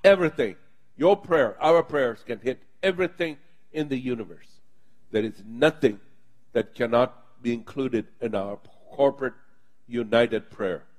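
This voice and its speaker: A man's voice: medium pitch, a little slow. A man preaching and leading his congregation in prayer, speaking fervently and with emphatic bursts. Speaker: pastor.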